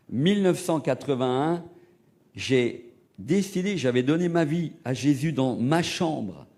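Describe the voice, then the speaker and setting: Man preaching with big swings in loudness. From Portugal. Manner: swings from loud emphatic peaks to hushed low asides. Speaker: evangelist preacher. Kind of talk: sermon with personal testimony.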